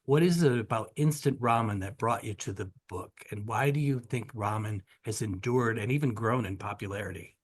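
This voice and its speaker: A man's voice: on the low side, normal speed, few pauses. A man moderating a panel, introducing guests and asking questions in an effusive, conversational way. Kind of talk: conversational interviewing.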